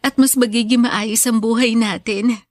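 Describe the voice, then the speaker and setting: Woman acting high-voiced, devoted young student daughter. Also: fairly even intonation, normal speed, a little mellow, dry recording, studio quality, natural voice. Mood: earnest, insistent. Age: young adult. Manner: respectful, earnest. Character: devoted, hardworking young woman student, reassuring her mother. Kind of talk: Filipino radio drama acting.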